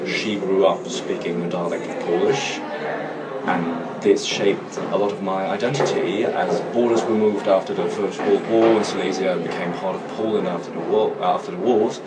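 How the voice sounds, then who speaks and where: Young man, reflective testimonial, very low voice, distant and noisy. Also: fairly even intonation, normal speed. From Germany. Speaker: event participant. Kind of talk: personal testimonial. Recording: distant mic, loud background noise.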